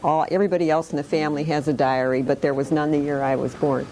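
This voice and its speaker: Older lady, late sixties, low and warm, slow dry storyteller in a classroom. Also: fairly even intonation, slight background noise, rough recording. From Detroit, Michigan. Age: 60s. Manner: unhurried, wry and plainspoken. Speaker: interviewee sharing memories of the Depression. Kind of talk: oral history interview. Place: school classroom.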